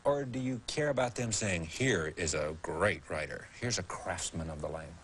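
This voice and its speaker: A man hosting a television interview, asking brisk questions and prompting often. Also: deep voice, a bit bright, breathy edge. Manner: brisk, prompting, with frequent yeahs.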